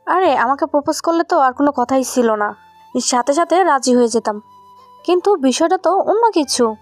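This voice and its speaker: A woman's voice: high-pitched, expressive, normal speed, faint music behind. A woman playing a feisty, stern schoolgirl in an audio love story. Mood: smitten, confiding. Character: feisty schoolgirl, stern and scolding, quick to soften.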